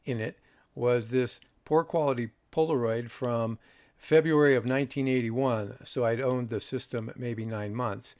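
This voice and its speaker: A man in his sixties narrating old photographs in a measured, reminiscing way with dry humour. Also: medium pitch, steady tone, darkish tone, no echo. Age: 60s. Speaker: video host, audio reviewer. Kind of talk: narration over a photo slideshow.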